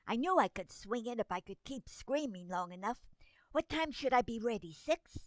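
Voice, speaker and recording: A woman's voice: on the high side, expressive, moderate pace, no room sound, close to an everyday voice. A woman acting a whiny, overdramatic, scheming tomboy teenage daughter in a radio comedy. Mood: eager, scheming.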